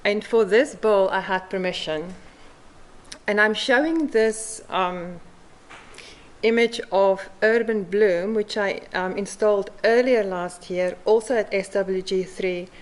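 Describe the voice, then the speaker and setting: Slow-spoken South African woman presenter. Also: medium pitch. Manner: slow and measured, with frequent "um" pauses. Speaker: mosaic artist presenting at a forum. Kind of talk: conference presentation.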